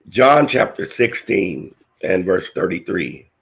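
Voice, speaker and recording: Male Bible teacher, low, hoarse and gravelly, earnest slow verse reading. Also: a bit bright. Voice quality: raspy, gravelly. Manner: slow, earnest scripture reading with halting restarts. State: hoarse, voice nearly lost. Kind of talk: scripture-reading lesson.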